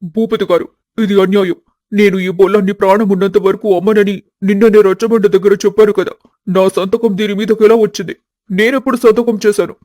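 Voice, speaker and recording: Man playing an indignant, high-voiced older farmer. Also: flat delivery, a little fast, a little mellow, dry recording, studio quality, lightly acted. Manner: indignant, questioning. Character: honest older farmer father-in-law, shocked and indignant. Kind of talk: melodramatic family storybook acting.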